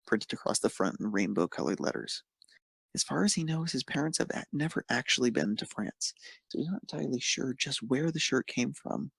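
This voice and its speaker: Creaky, husky male narrator. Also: mid-range voice, no room sound. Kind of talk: scripted narration of fan fiction.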